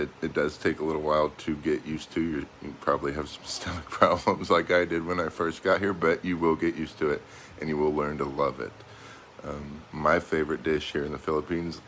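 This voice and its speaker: A man's voice: rumbling low, fairly bright tone, a little hiss or hum. A middle-aged American man speaking casually and unscripted, as a vlogger talking to his viewers. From United States. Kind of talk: casual vlog monologue.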